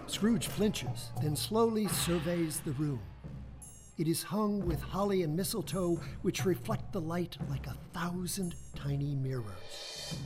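A man's voice: a little high, takes it slowly. Man narrating a radio play over music. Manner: storytelling, descriptive.

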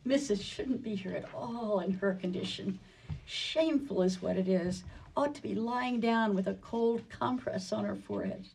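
A woman's voice: mid-range voice, sing-song, moderate pace, creaky, a little mellow, slightly rough, heavily acted. A woman playing a fussy, scolding, emotional housekeeper in a radio play, recorded at a distance from the mic. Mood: fretful, scolding. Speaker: actor in a community radio play.

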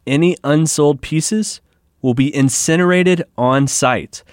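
A man's voice: on the high side, takes it slowly, no room sound. A man co-hosting a public radio magazine show from a studio, close on the mic.

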